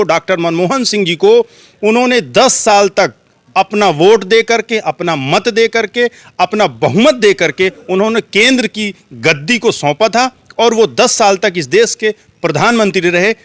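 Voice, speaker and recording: Indian male interviewee, high voice, dry close sound, earnest political commentary with emphatic repetition. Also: moderate pace, a bit bright, no echo. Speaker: street interviewee.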